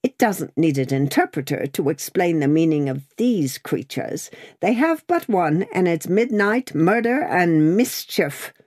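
A woman voicing a brisk, opinionated, loyal female servant in a storybook, with an emphatic, bossy but fond manner. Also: fairly low pitch, big pitch swings, a little mellow, no room sound, studio quality. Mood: scornful.

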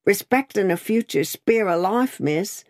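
A woman's voice: medium pitch, animated, a little slow, a little mellow, dry recording, clean studio sound, voice pushed up, close to an everyday voice. Woman doing a solemn, preachy working man. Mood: earnest. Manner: solemn, emphatic, holding forth as from a pulpit. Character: earnest, solemn, rough working-class London man, comically preachy. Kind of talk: storybook character reading.

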